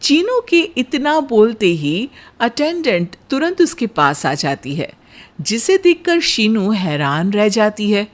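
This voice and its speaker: A woman's voice: medium pitch, sing-song, slow pace. A woman narrating a scripted cartoon story as a storyteller.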